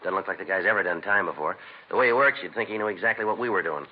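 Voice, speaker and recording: An American man playing a terse, dogged police detective in a 1950s radio crime drama, speaking in a deadpan, clipped, businesslike way. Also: sits low, racing. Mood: weary, dogged. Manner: deadpan, clipped, matter-of-fact. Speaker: detective and narrator. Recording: dull, noisy vintage radio recording.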